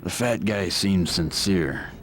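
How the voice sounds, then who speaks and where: An actor playing a grim, stoic vigilante in a video game, terse and deadpan with a gravelly voice. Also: male voice, low voice, slow, a little hiss or hum. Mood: cold, dismissive. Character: grim, stoic male vigilante, curt and menacing. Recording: music behind the voice.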